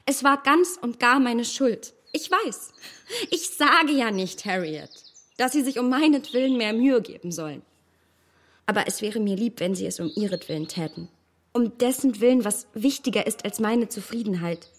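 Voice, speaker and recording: High-voiced, earnest young gentlewoman. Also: animated. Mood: earnest reproach. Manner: measured, earnest, gently reproving. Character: earnest, self-possessed young gentlewoman gently admonishing a friend. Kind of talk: radio drama acting.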